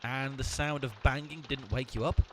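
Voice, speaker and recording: An actor playing a bossy, sarcastic amateur sleuth in a comic audio drama. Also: male voice, mid-range voice, steady tone, moderate pace, slight background noise, close to an everyday voice. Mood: probing, dry.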